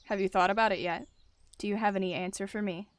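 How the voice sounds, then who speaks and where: A woman's voice: fairly even intonation, dry recording, lightly acted. Woman playing a menacing, growling female cat leader. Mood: cold, pressing. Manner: low growling, slow, controlled.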